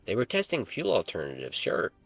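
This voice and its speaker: Casting: indignant male hacker acted by a storyteller, agitated, lifted above the narration, still gravelly. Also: fairly low pitch, wildly swooping pitch, fairly quick, a bit bright, faint music behind, voice pushed up, full character voice. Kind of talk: story character dialogue.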